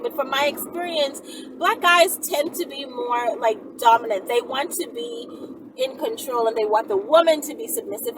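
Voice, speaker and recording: Woman, high voice, casual emphatic vlog talk, recorded away from the mic, clear background noise. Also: far from the mic. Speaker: video channel host answering viewer questions. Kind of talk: casual unscripted talk to viewers.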